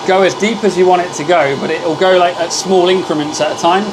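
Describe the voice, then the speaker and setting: A man with a loud voice, hosting a casual live stream. He chats with viewers and thanks them by name while he works at a laser engraver. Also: high-pitched, fairly even intonation, brisk, noisy background.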